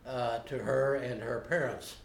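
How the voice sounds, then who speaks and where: Older man, interviewee; plainspoken and unhurried, recorded on a roomy camera mic. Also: mid-range voice, steady tone, a little hiss or hum. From United States. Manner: unhurried, plainspoken, frequent uh pauses. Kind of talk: unscripted recollection. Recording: roomy home video recording.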